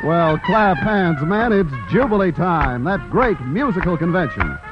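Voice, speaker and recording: A man's voice: a little high, big pitch swings, a little slow. An American man emceeing a 1940s radio variety show with showy, mock-formal jive patter. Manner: showy mock-formal convention chairman delivery. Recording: dull vintage radio recording with music. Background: loud music.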